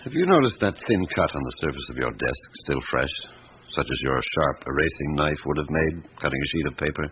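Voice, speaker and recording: An actor with a British accent playing a shrewd, patient middle-aged police inspector in a radio drama, asking blunt questions with hesitant pauses. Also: male voice, rumbling low, lively intonation. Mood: blunt, probing. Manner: probing, laconic, hesitant pauses. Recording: muffled radio recording with background hiss.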